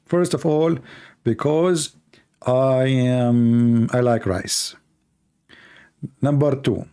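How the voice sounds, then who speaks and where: A man with a mellow, warm voice holding forth as a call-in show host. He rambles through a sarcastic comic monologue, piling on mock-serious claims and fillers as he goes. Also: mid-range voice. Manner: mocking, rambling and ironic, with many fillers.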